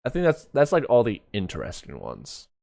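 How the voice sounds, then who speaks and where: A man doing casual live reaction commentary as a streamer, excitable, joking and prone to swearing. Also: on the low side, a little fast, creaky, no room sound, studio quality. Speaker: streamer reacting to a fan motion comic.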